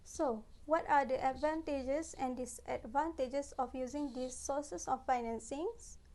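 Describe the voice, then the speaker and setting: A woman's voice: high voice, measured pace, darkish tone. A woman in her twenties with a Malaysian accent giving a scripted student presentation in a plain room. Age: 20s.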